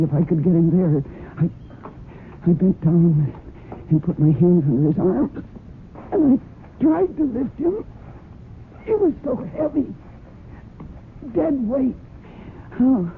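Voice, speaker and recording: An actress playing a distraught, guilt-ridden, fiercely protective woman in a radio mystery drama, with an emotional, halting delivery. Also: on the low side, lively intonation. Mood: tense, resolved, then straining, desperate. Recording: tinny band-limited old radio broadcast, noisy.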